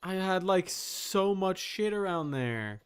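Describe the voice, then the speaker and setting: Slow-talking man streaming a game. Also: sits high, expressive, clear, resonant tone. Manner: muttering reactions in short bursts, casually profane. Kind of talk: casual live gameplay commentary.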